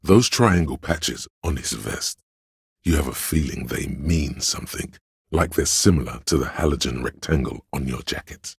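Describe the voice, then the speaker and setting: Super deep raspy guy narrating a game, slow solemn storytelling, really dry sound. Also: no room sound. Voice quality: gravelly, husky. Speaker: video game voice-over narrator. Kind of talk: scripted literary narration.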